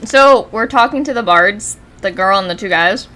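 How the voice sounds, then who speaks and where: A woman giving playful, animated casual commentary on a game stream. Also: medium pitch, moderate pace. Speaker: gaming streamer. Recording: game music faintly behind.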